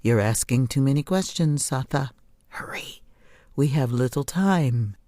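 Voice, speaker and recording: A woman voicing a stern, bitter mother with a sharp, reproachful and urgent manner in an audiobook. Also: rumbling low, expressive, measured pace, no echo. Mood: urgent, impatient. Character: stern, bitter, scolding mother of a grown daughter. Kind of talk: audiobook character voice.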